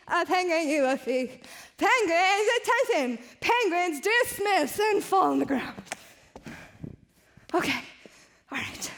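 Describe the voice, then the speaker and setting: A young woman leading playful action songs for children. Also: very high voice. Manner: bright, playful sing-along. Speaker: children's song leader. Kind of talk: singing action songs for kids.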